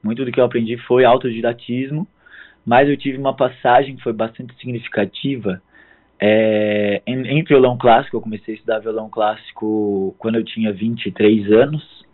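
A hoarse man in his thirties from southern Brazil, a guest musician speaking politely and hesitantly in a phone interview. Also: medium pitch, flat delivery, moderate pace. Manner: hesitant, filler-heavy, polite. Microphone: phone line. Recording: tinny phone line.

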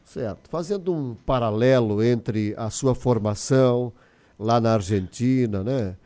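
A man's voice: medium pitch, measured pace, warm tone. A man hosting a live news interview on the radio.

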